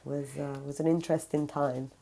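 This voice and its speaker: A woman's voice: low voice, little pitch movement, normal speed, creaky, mellow. A woman in her thirties from Italy with an Italian accent, a native Italian speaker, explaining things as an interview guest. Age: 30s.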